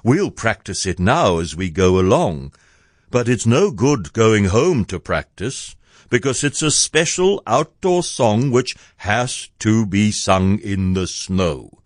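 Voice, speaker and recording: A man voicing an amiable, slow-witted toy bear in a children's storybook, speaking in a simple, earnest, ponderous way. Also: on the low side, very animated, dry recording, clean studio sound. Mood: earnest, muddled. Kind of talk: children's storybook character reading.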